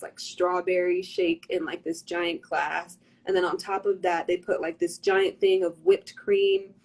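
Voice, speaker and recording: A young woman hosting a casual fan livestream on a phone or webcam, bubbly and gushing, with lots of "like"s. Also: medium pitch.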